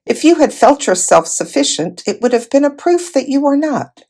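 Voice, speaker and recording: A woman voicing a great male lion king from a storybook, solemn, wise and kindly, in a measured, regal manner. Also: sits high, big pitch swings, warm tone, voice pushed up.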